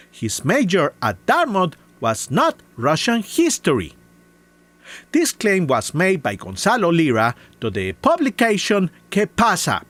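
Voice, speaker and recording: A man's voice: sits high, fairly bright tone, no room sound. A man with a heavy foreign accent reading a scripted rebuttal, listing claims one by one with scorn. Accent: non-native English. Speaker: commentary video maker.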